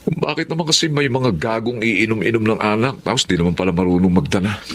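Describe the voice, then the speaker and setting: An actor playing a jealous young boyfriend who works on a bus, coaxing and animated, in a Filipino radio drama. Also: male voice, lowish voice, fast, slight background noise, natural voice. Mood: annoyed, caring. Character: young male bus worker, jealous, persuasive suitor.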